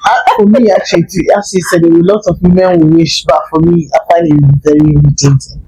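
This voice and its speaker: A woman's voice: fairly low pitch, steady tone, a little fast. Woman guest chatting over noticeable background noise. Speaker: interview guest, a wife. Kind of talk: casual conversation.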